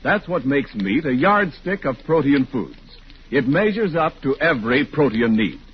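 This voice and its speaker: A man's voice: medium pitch, big pitch swings, moderate pace, a little hiss or hum. A man reading scripted sponsor announcements in 1940s radio announcer style. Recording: muffled old radio recording, faint music.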